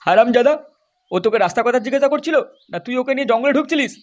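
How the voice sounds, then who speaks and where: A man's voice: extremely high pitch, rapid-fire, slight background noise. A man voicing a crude, quarrelsome village man in an adult comedy cartoon, brash, foul-mouthed and exaggerated. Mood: angry scolding.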